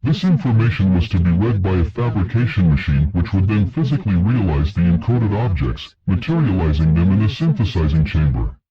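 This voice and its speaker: A man's voice: low-pitched, sing-song, a little fast, few pauses. A man narrating a fictional government project report in a formal, detached, official manner. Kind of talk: scripted documentary narration, analog horror. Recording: dull lo-fi, radio-like narration track.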